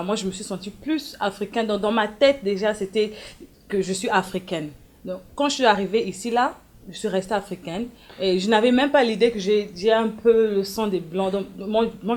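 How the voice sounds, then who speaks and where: A woman's voice: medium pitch, brisk, darkish tone. A woman who grew up in Congo, speaking as a guest in a casual discussion, direct and emphatic, repeating her main points.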